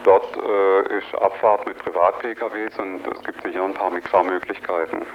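A man speaking as an activist guest in a radio interview, announcing an event haltingly, with frequent hesitations. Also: low-pitched, steady tone. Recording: dull lo-fi radio recording, faint noise.

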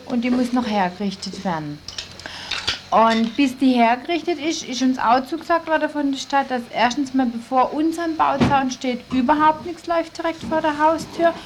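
A woman answering questions casually in an on-site interview. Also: on the high side. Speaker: interviewee, protesting childcare worker. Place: on site beside a building site. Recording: noisy on-site field interview.

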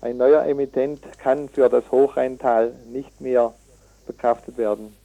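A man speaks in a radio interview as a campaigner. His voice is dark and mellow at an average pitch. He proceeds haltingly, correcting himself, and words his points with indignant emphasis. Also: fairly even intonation, gravelly, rough recording. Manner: halting, with many hesitations and self-corrections. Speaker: activist interviewee from a citizens' environmental initiative. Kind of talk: radio interview, reading out figures and arguing.

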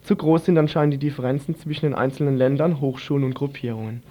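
A man's voice: mid-range voice, fairly even intonation, quick pace, warm tone. A man reading a scripted radio news report. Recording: slightly dull, lo-fi radio audio.

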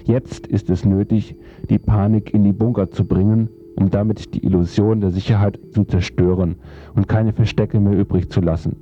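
Low-voiced man reading a formal essay, dull sound. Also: fairly even intonation, moderate pace. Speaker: narrator. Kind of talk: scripted reading of a theoretical essay.

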